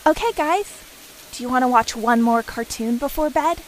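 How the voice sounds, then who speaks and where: A woman playing a composed, quietly firm mother of young children in an audio horror drama. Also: high voice, moderate pace, a little hiss or hum, natural voice, rough recording.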